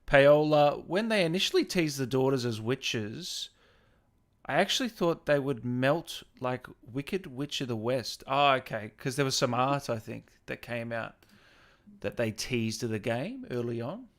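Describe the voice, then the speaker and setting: A man with a medium-pitched voice hosting an online interview. He sounds informal and conversational, moving between reading messages aloud and casual chat. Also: lively intonation, unhurried. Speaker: interview host. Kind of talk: casual livestream interview, reading viewer questions.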